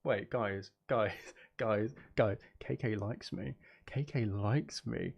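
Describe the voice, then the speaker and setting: A man's voice: on the low side, takes it slowly, vocal fry. A man chatting casually on a live stream as he makes music, geeky and playful, thinking aloud in a rambling way.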